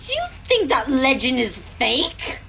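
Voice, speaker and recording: A woman's voice: sits high, wildly swooping pitch, slow, vocal fry, pushed and bright, slight background noise, heavily acted. A woman playing a sensible, frank female locomotive with a firm, reproving manner in an audio drama. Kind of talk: fan audio drama acting.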